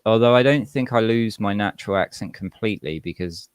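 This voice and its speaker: A man's voice: fairly low pitch, brisk, clear, resonant tone, no echo, clean studio sound. A man with a British accent and a slight American twang, chatting casually and reflectively as an interview guest. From England, UK. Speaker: rapper, podcast guest.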